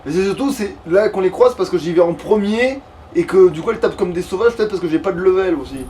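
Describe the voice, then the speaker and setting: A man's voice: high voice, fast talker, dark tone. A man thinking aloud informally with some swearing as he plays a video game, picked up from a distance with faint noise. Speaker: let's play player. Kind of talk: casual gameplay chat while playing.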